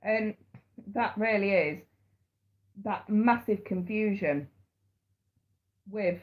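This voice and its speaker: A woman's voice: mid-range voice, takes it slowly, muffled recording. A woman with a head cold, chatting on a live stream and giving tarot readings. She talks in a chatty way, repeats words and calls her viewers sweetheart and darling. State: congested with a cold. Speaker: tarot reader.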